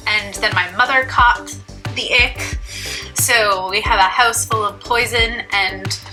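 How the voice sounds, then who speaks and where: Bright, chatty congested young woman. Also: fairly high pitch, steady tone, slow pace, soft background music. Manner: chatty, rambling, self-deprecating. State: stuffy and congested. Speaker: beauty vlogger. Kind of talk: casual chat to camera.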